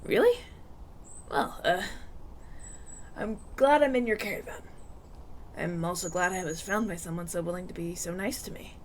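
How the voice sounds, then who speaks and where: A man's voice: sits high, moderate pace, pauses often, slight background noise, close to an everyday voice. A man playing a polite, anxious young runaway prince in an audio roleplay, stammering and hesitant as he talks. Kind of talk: ASMR audio roleplay, acted one-sided dialogue.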